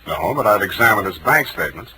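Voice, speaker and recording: A man's voice: on the low side, sing-song, fast, sharp tone, a little hiss or hum, heavily acted, rough recording. An American man playing a wry, hard-boiled private detective in 1940s radio style, with a dry, wisecracking delivery. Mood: probing, skeptical. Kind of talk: 1940s radio acting with first-person narration. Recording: old 1940s radio recording, music behind.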